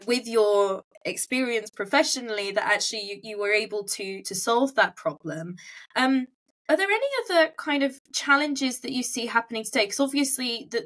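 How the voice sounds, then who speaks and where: A woman hosting a conversational interview, reflecting on points and softening them as she goes. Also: on the high side. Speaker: podcast host, interviewer.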